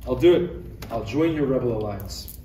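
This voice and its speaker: A man's voice: mid-range voice, expressive, normal speed, dark tone, lightly acted. A man playing an earnest, easily exasperated space knight master in a parody table read. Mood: alarmed defiance. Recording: echoey room, distant mic, faint noise.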